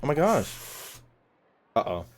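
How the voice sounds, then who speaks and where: A man's voice: medium pitch, huge pitch swings, measured pace, darkish tone. A man doing casual gaming commentary, reading dialogue aloud and joking in a slangy way. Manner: slangy, jokey. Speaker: gaming YouTuber.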